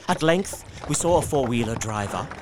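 A man narrating as a steady, earnest Victorian journal writer. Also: mid-range voice, moderate pace, background noise, natural voice. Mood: tense. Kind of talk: audio drama narration.

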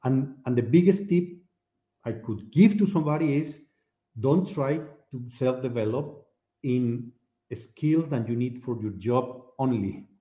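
A man's voice: medium pitch, unhurried, dark tone, echoey room, muffled recording. A man sharing his views as a guest on a panel discussion, thinking aloud and repeating words as he searches for them. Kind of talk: casual discussion.

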